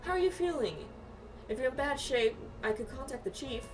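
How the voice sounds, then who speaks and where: A woman reads out a caring, worried fantasy game companion in a high, earnest voice, gently admonishing. Music plays behind, and the mic is slightly distant. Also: lively intonation, normal speed. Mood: caring, firm.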